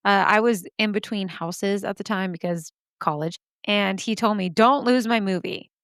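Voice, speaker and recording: Hoarse, chatty woman podcast host. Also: mid-range voice, moderate pace, no room sound, clean studio sound. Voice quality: vocal fry. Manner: rambling, self-deprecating, animated asides. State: hoarse, scratchy voice.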